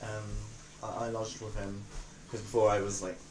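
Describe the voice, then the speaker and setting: A man's voice: fairly low pitch, little pitch movement, moderate pace, darkish tone, recorded from a distance. A nineteen-year-old man with a southern English accent, talking informally and a little hesitantly in an interview. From Reading, England. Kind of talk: casual documentary interview. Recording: roomy echo, faint background noise.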